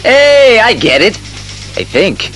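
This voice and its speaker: A man playing a sceptical, slow-witted police sergeant, blunt and colloquial, in a superhero audio drama. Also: high voice, huge pitch swings, a bit bright, faint music behind, exaggerated voice. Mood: puzzled. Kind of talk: superhero radio drama acting.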